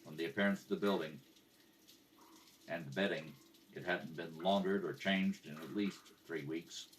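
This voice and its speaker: Very low male detective played by a man, radio crime drama, deadpan, calm, patient; off-mic home recording. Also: moderate pace, lightly acted. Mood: matter-of-fact. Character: calm, matter-of-fact male police detective, patient with children. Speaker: police detective in a radio play rehearsal. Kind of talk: 1950s radio detective drama, clipped narration and dialogue. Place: kitchen at home. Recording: homemade, distant mic with background noise.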